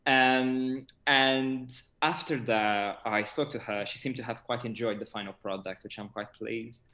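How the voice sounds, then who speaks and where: A man's voice: medium pitch, fairly even intonation, a little slow, bright, forceful tone. A young man chats as a theatre director being interviewed. His manner is effusive and enthusiastic, and the sound is that of a video call from an echoey room. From Portugal. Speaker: guest, theatre director and actor.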